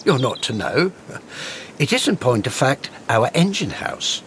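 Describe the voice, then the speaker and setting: A lively, deferential handyman-engineer, roomy sound, audible noise behind. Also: medium pitch, unhurried. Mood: proud, friendly. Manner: deferential, chatty, says "Mum". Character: working-class handyman and electrical engineer, proud of his work. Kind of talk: radio drama acting.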